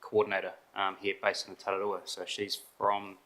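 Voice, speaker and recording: A New man who manages a sports organisation introduces himself to a council committee. His lowish voice has a bright, forceful edge, and he keeps it friendly and informal with a few ums. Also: monotone, brisk.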